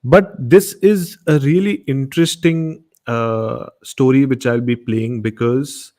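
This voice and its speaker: A man's voice: medium pitch, steady tone, slow, darkish tone. A man from Goa with an Indian accent hosts a late-night horror show, speaking off the cuff with repetitive, emphatic phrasing. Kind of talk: casual hosting, unscripted introductions.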